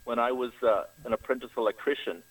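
An American man from Colorado phoning a radio show, telling personal experiences haltingly, with frequent pauses and fillers. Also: mid-range voice, fairly even intonation. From Greeley, Colorado. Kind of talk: personal account in a live call. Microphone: phone call to radio show. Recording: distant-sounding radio call-in line.

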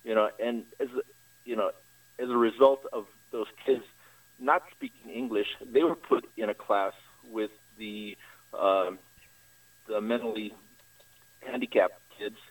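An American man from Colorado phoning a radio show, telling personal experiences haltingly, with frequent pauses and fillers. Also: lowish voice, steady tone. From Greeley, Colorado. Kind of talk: personal account in a live call. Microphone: phone call to radio show. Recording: distant-sounding radio call-in line.

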